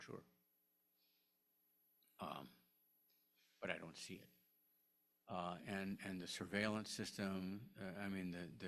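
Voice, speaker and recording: An older man, a historian, answering audience questions at a lecture, halting with many restarts and fillers. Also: low voice, gravelly, fairly bright tone. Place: lecture room.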